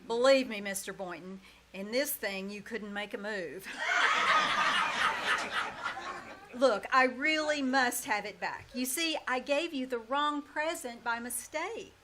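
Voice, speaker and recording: A woman playing a wry, quick-witted and easily flustered schoolteacher in an old-time radio comedy, with emphatic theatrical delivery. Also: fairly high pitch, a bit bright. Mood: awkward, wry. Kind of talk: old-time radio comedy acting before a live audience. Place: small-town theater stage. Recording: live stage recording with noise.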